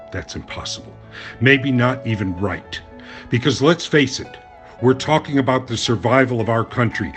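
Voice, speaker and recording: Radio play journalist, played by a man: sincere, troubled, mid-range voice, deliberate delivery, faint score. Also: mid-range voice, close to an everyday voice. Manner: earnest, deliberate. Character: earnest, troubled male journalist, loyal friend. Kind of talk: audio drama acting and narration.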